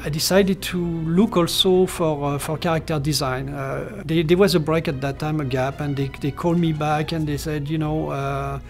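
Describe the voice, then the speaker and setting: A man's voice: on the high side, steady tone, normal speed, few pauses, faint music behind. A middle-aged man from France with a French accent, recalling his career in an interview with many fillers and restarts. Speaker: animation character designer. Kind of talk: talking-head interview, recollections.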